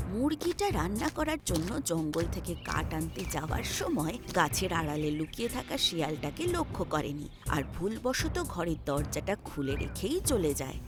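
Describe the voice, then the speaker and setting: A woman tells a children's story as narrator. Her voice sits fairly low but moves expressively through a wide range. Loud music runs behind the scripted narration.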